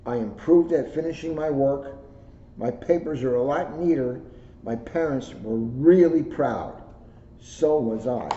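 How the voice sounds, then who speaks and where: Mellow man reading aloud in an echoey room. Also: mid-range voice, unhurried. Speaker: police officer reading a children's book aloud. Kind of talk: children's book read-aloud with casual asides. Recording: roomy echo, muffled audio, faint noise.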